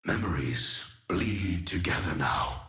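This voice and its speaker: Slow, echoing ancient god-warrior. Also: male voice, deep voice, expressive, sharp tone, exaggerated voice. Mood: resolute, grave. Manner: solemn, measured, archaic formal delivery. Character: ancient god-warrior fighting his dark urges, solemn and noble. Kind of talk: dramatic video game character lines.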